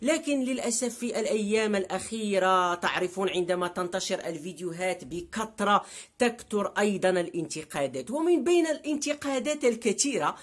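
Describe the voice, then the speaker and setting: A man's voice: sits high, expressive, brisk, a bit bright. A man in his thirties talks to his webcam from a sofa at home. He is emphatic and gestures constantly, and he repeats words to drive each point home. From Morocco. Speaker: vlogger. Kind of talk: direct-to-camera vlog monologue. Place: living room with a sofa, indoors.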